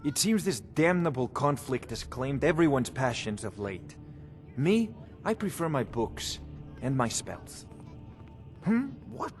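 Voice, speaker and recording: An actor playing a haughty, bookish court wizard in a fantasy game, precise and condescending. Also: male voice, medium pitch, big pitch swings, measured pace, big-room sound, faint music behind. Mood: aloof.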